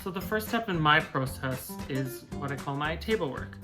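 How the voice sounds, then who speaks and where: A man's voice: fairly high pitch, animated, normal speed, over music. A man, an opera singer, explaining his score preparation to camera in a thoughtful, explanatory way. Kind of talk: vlog talking to camera.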